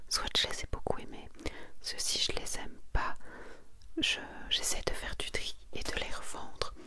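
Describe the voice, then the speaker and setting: Whispering woman, soft breathy ASMR voice. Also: moderate pace. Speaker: host of an ASMR manga collection video. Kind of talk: whispered ASMR presentation, reading summaries and commenting.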